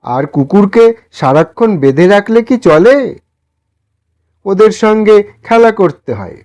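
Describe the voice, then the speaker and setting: A man voicing a timid, poor, kind gentleman in a storybook, speaking politely and pleadingly. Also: high-pitched, big pitch swings, slow, voice pushed up, heavily acted. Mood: eager, caring. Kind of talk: dramatised storybook dialogue.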